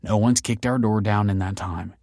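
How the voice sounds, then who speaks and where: Man playing a weary, placating husband, lowish voice. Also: steady tone, moderate pace, gravelly, darkish tone, no room sound, clean studio sound. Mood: defensive. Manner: hesitant, placating. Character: weary, evasive husband and father, placating and defensive.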